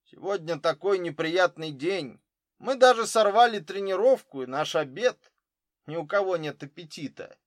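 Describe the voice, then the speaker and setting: A man's voice: sits high, animated, moderate pace, no room sound, clean studio sound, pitched above the performer's own voice. Man reading as troubled football coach, raised voice. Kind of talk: audiobook character dialogue.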